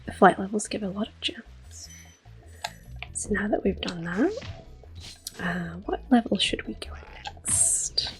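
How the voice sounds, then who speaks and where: A woman giving soft-spoken, often whispered ASMR commentary on a game, gentle and breathy. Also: mid-range voice, music in the background. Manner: gentle, unhurried. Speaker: ASMR gameplay host.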